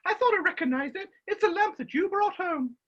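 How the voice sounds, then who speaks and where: A woman playing an excitable, bustling pantomime dame and mother, exclaiming broadly and theatrically over a video call. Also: extremely high pitch, fairly quick. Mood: excited. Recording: dull lo-fi video call audio.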